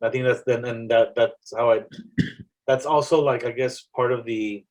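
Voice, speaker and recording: A man from South Texas with a South Texas accent, a poet talking about his work in a casual interview, reflective and halting, with many restarts. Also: mid-range voice, steady tone, darkish tone, poor audio. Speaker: poet guest.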